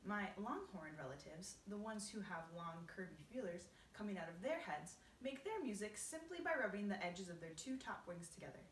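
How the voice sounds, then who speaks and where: A woman performs a genial old grasshopper gentleman in a storybook. His pitch swings expressively as he explains things with courteous, old-fashioned pride and gentle teasing. The recording is roomy and a little distant. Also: lively intonation, normal speed, few pauses, a little hiss or hum, close to an everyday voice. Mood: genial, instructive.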